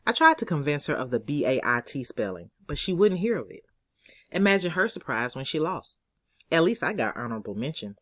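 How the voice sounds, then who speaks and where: A woman reading her own novel aloud as expressive first-person narration, in a conversational, sassy storytelling manner. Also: sits low, no echo, studio quality.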